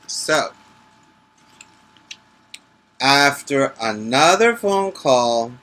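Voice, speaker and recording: A man's voice: medium pitch, bright, forceful tone, low-quality recording. A male teacher reading a picture book aloud to preschoolers, adding instructive asides and short questions for the young listeners.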